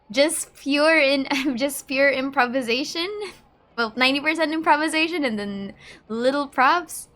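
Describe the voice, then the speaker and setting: A woman's voice: sits high, slow pace, fairly bright tone. A woman gaming streamer giving chatty, informal, reactive commentary as she plays. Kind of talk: casual gameplay commentary. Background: game music.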